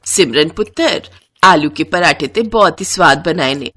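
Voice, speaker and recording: A woman voicing a kindly middle-aged mother-in-law with a Punjabi accent and an affectionate, measured manner. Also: sits low, animated, pushed and bright, pitched below the performer's own voice, full character voice. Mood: approving.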